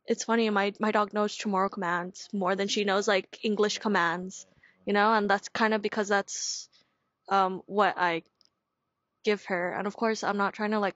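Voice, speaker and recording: A woman's voice: medium pitch, a bit bright, no echo, muffled recording. A young woman hosting an outdoor panel talk, chatty and giggly, full of casual fillers as she asks questions. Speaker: host. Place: outdoors at a table in a leafy garden.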